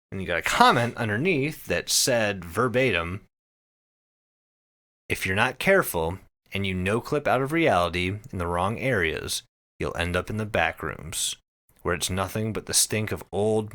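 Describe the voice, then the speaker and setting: A man's voice: fairly low pitch, fairly bright tone. A man co-hosting a podcast, telling stories casually in an emphatic way with plenty of swearing. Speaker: podcast co-host.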